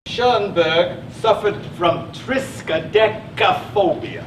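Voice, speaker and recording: A man's voice: high-pitched, takes it slowly. A man acting in a stage play as a talkative, needling know-it-all who lectures and argues insistently. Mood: smug, amused. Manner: pedantic, argumentative, insistent. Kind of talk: live one-act stage play acting. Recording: echoey stage from far off, noisy audience.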